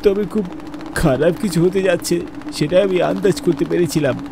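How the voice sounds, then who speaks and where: A man's voice: high-pitched, moderate pace, vocal fry. A man voicing a frightened ordinary man who tells his own ghost story in dramatic horror cartoon style. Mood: terrified. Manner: dramatic, fearful, pleading in dialogue. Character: frightened family breadwinner stranded among ghosts, narrating. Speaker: first-person narrator and protagonist. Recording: noisy ambience behind the voice.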